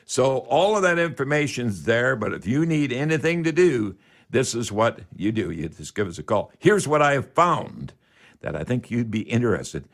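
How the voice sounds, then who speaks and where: An older man with a Canadian accent hosting a home improvement call-in radio show, talking in a folksy, rambling way like a friendly salesman making a pitch. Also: sing-song, normal speed, vocal fry.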